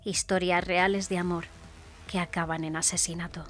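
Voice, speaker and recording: A woman's voice: fairly low pitch, level tone, moderate pace, soft background music. A woman reading a scripted, dramatic radio promo narration. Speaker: promo announcer.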